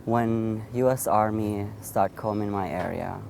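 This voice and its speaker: A man in his thirties with a fairly low voice tells his own story in an interview. His is Assyrian, and he speaks haltingly, restarting and repeating words as he goes. A faint hiss of background noise sits under his voice. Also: fairly even intonation, vocal fry, a little mellow. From Iraq. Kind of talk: personal storytelling.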